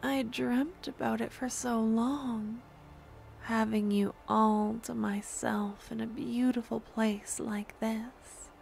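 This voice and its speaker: A woman voicing an obsessive, lovesick young necromancer stalker in an intimate ASMR roleplay, with a breathy, adoring, possessive murmur that often slips into whispers. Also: fairly high pitch, fairly even intonation, darkish tone, slight background noise, full character voice. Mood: tender, obsessive. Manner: adoring, possessive, halting dramatic delivery.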